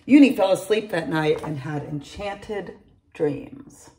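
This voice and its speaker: A middle-aged woman reading a children's picture book aloud to her class, in an animated storytelling manner, recorded on a close phone camera in a large room at home. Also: on the low side, slow pace, a little hiss or hum. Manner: animated storytelling, addresses children by name, gravelly edge. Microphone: phone or laptop camera at close range.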